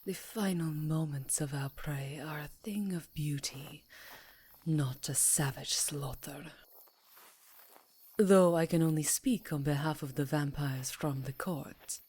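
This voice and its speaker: A woman voicing a haughty, elegant vampire countess in a hushed, theatrical ASMR roleplay. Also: low voice, slight background noise. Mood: sly, composed. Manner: hushed, breathy, theatrical, slow and deliberate.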